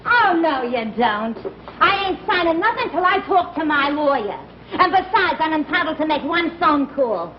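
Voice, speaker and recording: A woman's voice: high voice, very animated, moderate pace, a little hiss or hum. An American actress playing a brassy, defiant female pickpocket in a 1950s comedy sketch. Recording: dull 1950s broadcast with audience.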